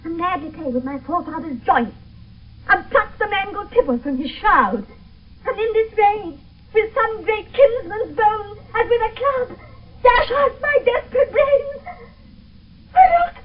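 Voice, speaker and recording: A woman's voice: extremely high pitch, expressive, a little slow. An actress playing a frightened young noblewoman in an intense, theatrical 1970s radio Shakespeare style. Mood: frantic, terrified. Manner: intense, theatrical verse delivery. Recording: thin phone-like old radio, distant mic.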